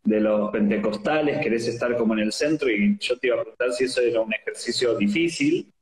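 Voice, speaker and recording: A man with an Argentine accent hosts a relaxed interview over a video call. His voice sits at an ordinary middle pitch, and the audio carries a noticeable room echo. He talks playfully, joking with his guest and leaning on filler words. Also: fairly quick. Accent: Argentine Spanish. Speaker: host. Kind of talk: casual interview chat.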